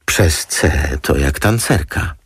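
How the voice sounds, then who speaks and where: A man voicing a composed, dryly witty woman lawyer who speaks in a calm, politely formal manner in an audiobook. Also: extremely low pitch, slow, gravelly, breathy edge, dry recording, clean studio sound, exaggerated voice. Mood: cool, obliging.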